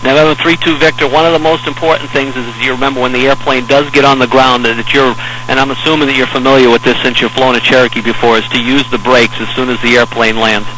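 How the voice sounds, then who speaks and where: An American man working as an air traffic controller, giving measured, hesitant, reassuring step-by-step instructions over aviation radio. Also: mid-range voice, little pitch movement, sharp tone, rough recording. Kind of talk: instructional radio talk to a pilot in an emergency.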